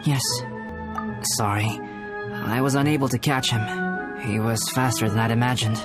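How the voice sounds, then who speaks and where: An actor playing a composed, aloof young man in a video game, speaking in a measured, formal and philosophical manner. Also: mid-range voice, steady tone, over loud music, lightly acted. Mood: calm. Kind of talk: video game character acting.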